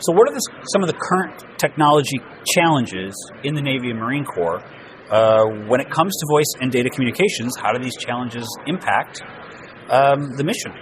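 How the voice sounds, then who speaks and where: Male interviewer, mid-pitched voice. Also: lively intonation, brisk, crowd noise. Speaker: interviewer, host. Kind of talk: prepared interview questions. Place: naval trade show event.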